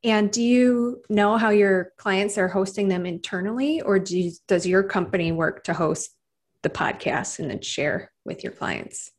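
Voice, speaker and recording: A woman's voice: mid-range voice, measured pace. A woman with a Michigan accent hosting, asking guests friendly questions. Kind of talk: interviewing panel guests.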